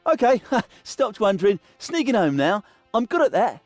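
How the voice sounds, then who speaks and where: Cocky, high-voiced sneaky little thief. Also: male voice, big pitch swings, normal speed, no room sound, faint music behind. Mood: nervous, cheeky. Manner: bragging, mock-innocent, theatrical. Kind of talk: fantasy video game voice acting.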